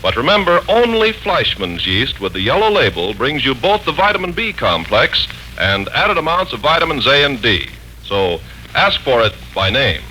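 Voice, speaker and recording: An American man announcing and narrating from a script in polished, deliberate 1940s radio style. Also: mid-range voice, sing-song, pushed and bright, a little hiss or hum, rough recording.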